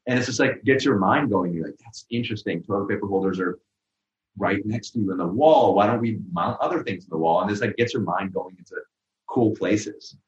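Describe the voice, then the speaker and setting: Deep-voiced man, rambling and casual. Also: brisk, roomy sound, lo-fi sound. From Pakistan. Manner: loose, rambling, full of "like" and restarts. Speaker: guest. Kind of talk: casual interview conversation.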